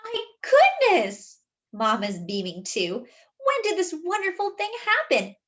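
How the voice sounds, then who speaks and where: A woman reading a children's picture book aloud, expressively and with animated delivery for young listeners. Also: extremely high pitch, lo-fi sound. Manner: animated, pausing to explain words.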